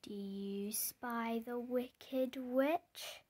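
A storybook reader going through a picture book aloud in simple, deliberate phrases, pausing and putting questions to the listener. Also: medium pitch, fairly even intonation, darkish tone, slightly rough. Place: indoors, book held before the camera.

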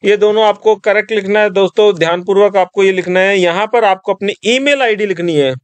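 A man with a high, clear voice walks the listener through an online application one step at a time. He keeps it instructive and calls his viewers friends. The sound is dry and close, recorded at a desk mic in a quiet room. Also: brisk, dry recording. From Rajasthan. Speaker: tutorial presenter. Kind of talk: screen-recorded tutorial voice-over.

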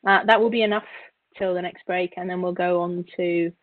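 A woman instructor giving an informal software tutorial, explaining step by step with halting, repeated words. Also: on the low side, steady tone, no room sound. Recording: narrow, phone-like call audio.

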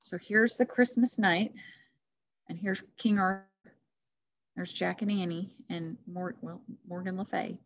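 A woman's voice: fairly low pitch, steady tone, measured pace, a little hiss or hum. Woman reading a storybook, muffled webcam sound. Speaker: teacher reading aloud. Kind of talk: expressive children's storybook narration. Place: classroom, indoors. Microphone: computer webcam at desk distance.

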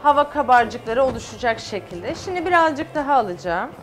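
A woman's voice: high-pitched, animated, a little fast, faint music behind, rough recording. A middle-aged woman, a guest chef on a studio cooking show, explaining each step as she works. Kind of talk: cooking demonstration. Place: TV studio kitchen set.

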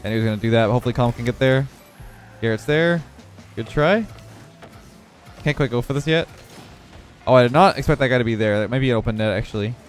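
A man's voice: medium pitch, fast, pauses often, faint music behind. Congested young man calling his gameplay. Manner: relaxed running commentary on his own play. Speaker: gaming video creator. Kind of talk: live gameplay commentary.

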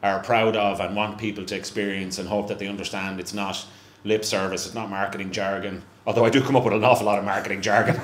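A middle-aged Irish man chatting on stage at a live event, self-mocking and full of restarts. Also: on the low side. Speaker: brewery representative, guest. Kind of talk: casual onstage chat. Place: small live venue room with stage. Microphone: handheld mic on stand. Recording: echoey room, faint hum.

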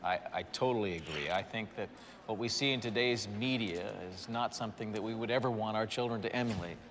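An actor playing a measured, persuasive politician speaking at a press event. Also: male voice, on the low side, a bit bright, long reverb tail, a little hiss or hum, natural voice.

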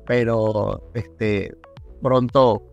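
A man's voice: lowish voice, fairly even intonation, drawn-out words, creaky, dull audio, faint music behind. A middle-aged man, hosting a conversational interview into a close microphone on a video call. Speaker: podcast host and pastor. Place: indoor room on a video call. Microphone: desk microphone close in front.

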